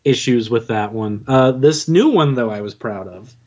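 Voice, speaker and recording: A man's voice: mid-range voice, expressive, normal speed, a little mellow, highs cut off. A man in his twenties chatting casually as an interview guest, modest and informal in how he talks. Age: 20s. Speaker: podcast guest, audio drama writer and narrator.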